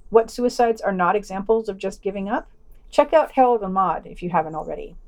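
A woman's voice: medium pitch, brisk, darkish tone. A woman presenting a podcast, reading scripted reviews and editorial commentary.

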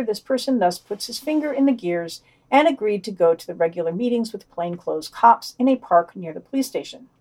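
A woman's voice: medium pitch, a little mellow, breathy edge. A woman hosting a podcast, reading a scripted news digest and quoted passages aloud with wry asides. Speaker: podcast host.

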